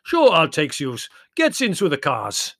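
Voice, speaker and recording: A man's voice: on the high side, huge pitch swings, a little slow, a bit bright, no echo, clean studio sound, pitched above the performer's own voice, exaggerated voice. A man voicing a big, boisterous Greek taxi driver who speaks emphatic broken English in a storybook reading. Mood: brusque, eager.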